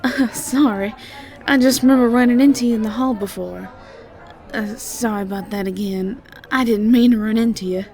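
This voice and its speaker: A woman's voice: a little high, normal speed, a little mellow, a faint crowd, natural voice. A woman playing a sweet, flustered, ditzy young siren student with a Southern American accent and a hesitant, stammering way of chatting in an audio roleplay. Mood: flustered, apologetic. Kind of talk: roleplay audio drama acting.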